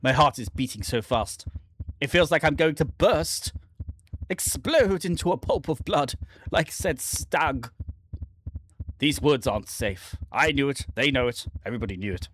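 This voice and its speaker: A man voicing a haunted, guilt-ridden man lost in dark woods, reading horror game narration in a theatrical, halting way. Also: mid-range voice, expressive, slight background noise. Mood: panicked dread. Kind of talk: dramatic first-person reading of horror visual novel text.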